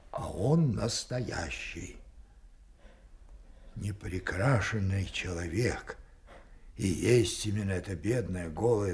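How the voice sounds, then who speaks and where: An actor playing an old, deposed king losing his reason, declaiming with halting, weighty anguish in a theatrical radio drama. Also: lowish voice, a little mellow. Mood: wondering. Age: older.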